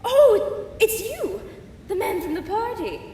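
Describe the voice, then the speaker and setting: A mysterious, refined society woman played by an actress in an old-time radio drama. Her voice is extremely high and lilts widely, prim and coyly evasive, with a faint rumble behind it. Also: huge pitch swings, slow pace, warm tone, full character voice. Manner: prim, theatrical, precise diction.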